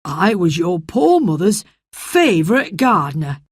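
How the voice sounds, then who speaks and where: A gruff old gardener, cross at first but kind underneath, as a woman reading the story puts him on. He speaks slowly and plainly. Dry, close storybook recording. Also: on the low side, very animated, dry recording, exaggerated voice.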